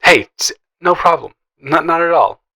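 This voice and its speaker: Guy playing a teasing best friend type, bright voice, kinda shy n stammery, flirty audio roleplay, chatty. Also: on the high side, expressive, exaggerated voice. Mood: nervous, friendly. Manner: playful, teasing, halting with stammers and chuckles. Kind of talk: improvised, conversational romantic audio roleplay.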